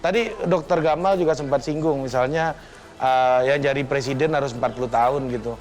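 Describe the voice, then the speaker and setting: A man's voice: medium pitch, fairly even intonation, fairly quick, a little mellow, soft background music. A man speaking as a guest on a studio panel in a casual, chatty way. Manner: casual, chatty, laughs between points. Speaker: talk show guest.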